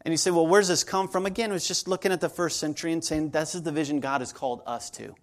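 A man's voice: on the high side, fairly even intonation, fast talker. A man, preaching a sermon to his congregation in an earnest, conversational way, often repeating his phrases. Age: 40s. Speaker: pastor.

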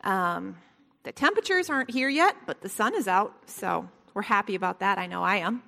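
A soft-spoken woman leading a Bible study lesson, with a prayer and a scripture reading, speaking gently and with frequent ums. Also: mid-range voice, lively intonation, brisk, gravelly. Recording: long hall reverb.

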